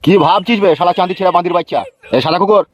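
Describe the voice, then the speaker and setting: A man voicing a bullying, mocking, foul-mouthed gang boss in an animated comedy. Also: high voice, rapid-fire. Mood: abusive, menacing. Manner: mocking, threatening, swaggering. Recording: dull lo-fi track, faint music.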